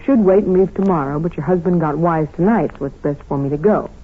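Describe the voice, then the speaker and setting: Flirty female detective's sidekick, inquisitive, slightly husky, 1940s radio acting, tinny broadcast recording. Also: fairly low pitch, fast talker. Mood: puzzled, curious.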